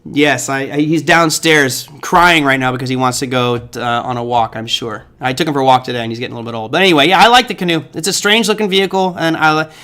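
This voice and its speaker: A man's voice: medium pitch, steady tone, fast talker, bright, forceful tone. A middle-aged man hosting a live trading stream, chatting casually with viewers in a rambling, joking way full of fillers. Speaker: host of a trading livestream. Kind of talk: casual livestream chat answering viewers.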